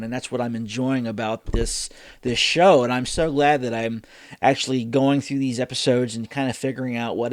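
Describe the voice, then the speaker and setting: A man's voice: mid-range voice, no room sound. A man hosting a reaction show, talking casually and thinking aloud as he gives rambling commentary.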